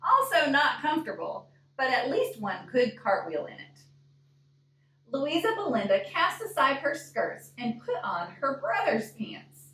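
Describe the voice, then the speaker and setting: A woman reading a picture book aloud in an expressive storytime style, deliberate and often putting questions to young listeners. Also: sits high. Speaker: librarian reading a storybook to children. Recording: echoey room, distant microphone.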